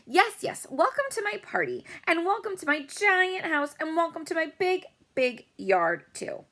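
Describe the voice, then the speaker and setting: A boastful, spoiled little rich girl from a children's story, squealing and whining as she brags, voiced by a woman reading aloud. Her voice is very high and bright and leaps all over the place. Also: sing-song, unhurried, full character voice. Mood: bragging. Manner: squealing, bragging, whiny.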